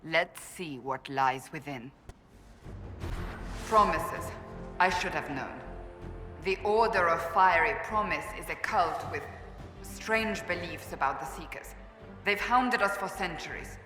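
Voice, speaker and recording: A woman's voice: on the low side, lively intonation, slow, pauses often, sharp tone, faint music behind, heavily acted. A woman playing a stern, righteous warrior in a video game, blunt, serious and formal in her delivery. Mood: stern, disdainful. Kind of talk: video game character dialogue.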